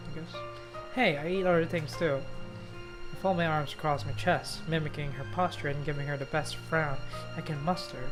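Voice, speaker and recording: Casual streamer reading game story out loud, swears a bit, playful, medium pitch, light music in back. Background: faint game music.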